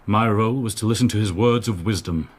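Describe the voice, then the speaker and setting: Man playing privileged male heir, low husky voice, urbane, condescending, radio drama. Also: fast, lightly acted. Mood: urbane, reflective. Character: smooth-mannered privileged male brewery heir, reformed playboy.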